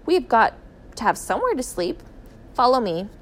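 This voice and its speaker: A woman voicing a firm, practical father turned small bird in a story reading, with brisk commands. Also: a little high, big pitch swings, voice pushed up. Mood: firm, reassuring.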